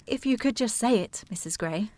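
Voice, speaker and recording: An actress playing a formal, earnest and insistent gentlewoman, anxious about family, in a period audio drama. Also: fairly high pitch, animated, normal speed, dry recording, lightly acted. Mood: distressed disbelief. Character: proper, anxious, insistent gentlewoman seeking her sister.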